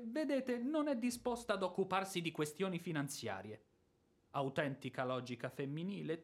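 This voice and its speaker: Blustering, hot-tempered landowner, swooping pitch. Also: male voice. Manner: emphatic, sarcastic, grumbling. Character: blustering, hot-tempered landowner and former officer, demanding a debt. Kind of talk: theatrical radio drama acting.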